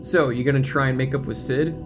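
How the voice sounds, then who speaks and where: A needy, slightly dim teenage boy acted by a man tags along eagerly and sounds easily wounded. It is an audio drama performance, with clear music behind the voice. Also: mid-range voice, fairly even intonation, a little fast, lightly acted. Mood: eager, hurt.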